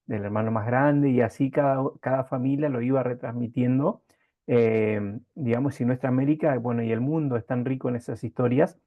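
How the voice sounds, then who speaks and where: A man interviewing in a conversational way, speaking haltingly and reflectively in circling phrases. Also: medium pitch, narrow, tinny audio.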